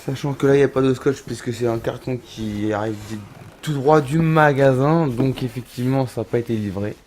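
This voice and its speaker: Casting: thirty-year-old male vlogger, warm low-key tone, rambling friendly delivery with lots of "euh". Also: brisk, slight background noise. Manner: chatty, many fillers and restarts, addresses viewers as little rabbits. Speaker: roller skating vlogger. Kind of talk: casual unboxing vlog. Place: home studio.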